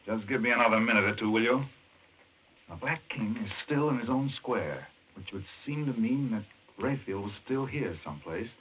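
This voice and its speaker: An actor playing an earnest, urgent doctor hero in a 1940s radio thriller, speaking in a brisk, intense, clipped way. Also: male voice, fairly low pitch, lively intonation, muffled recording, lightly acted. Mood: tense, thoughtful. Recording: old radio broadcast, faint noise.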